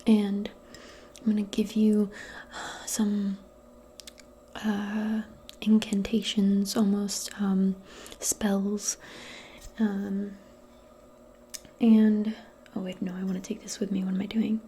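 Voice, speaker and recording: A woman speaking softly and breathily, often in a whisper, in a gentle, hesitant ASMR roleplay as a modern witch. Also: mid-range voice, little pitch movement, slight background noise. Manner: gentle, unhurried, hesitant and improvised. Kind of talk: soft-spoken, whispered ASMR personal attention roleplay.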